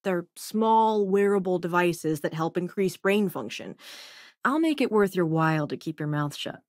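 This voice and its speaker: A woman's voice: fairly low pitch, lively intonation, moderate pace, darkish tone, no echo, studio quality, lightly acted. A woman playing a sassy, self-assured young scientist and smuggler companion in a space video game, with a wry, casual manner. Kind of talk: acted video game dialogue lines.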